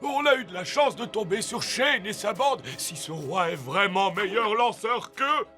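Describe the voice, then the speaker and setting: A cowardly, slow-witted cartoon lackey. His voice is extremely high and lively, excitable and whiny in delivery, with a score playing behind. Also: heavily acted. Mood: puzzled, nervous. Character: dim, cowardly male henchman, big brother sidekick. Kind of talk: French animated series dubbing. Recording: music behind the voice.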